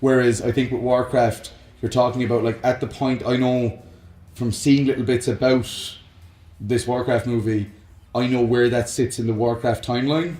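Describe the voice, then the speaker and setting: A man's voice: medium pitch, steady tone, normal speed, darkish tone. Man chatting casually in a roomy space. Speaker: co-host of a film and games discussion show. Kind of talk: casual banter and opinionated chat. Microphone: close microphone on a stand.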